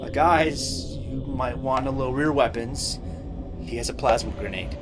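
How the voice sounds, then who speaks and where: A man's voice: medium pitch, unhurried, lightly acted. Man playing a cautious crewman with music behind. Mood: nervous. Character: nervous, cautious male space crew member. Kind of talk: sci-fi audio drama acting.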